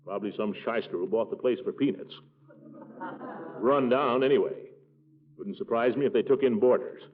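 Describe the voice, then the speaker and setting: An actor playing a pompous, fussy middle-aged uncle who blusters and gets flustered, in 1940s radio comedy style. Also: male voice, mid-range voice, moderate pace, lightly acted. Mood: indignant, blustering. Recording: muffled, tinny 1940s radio recording.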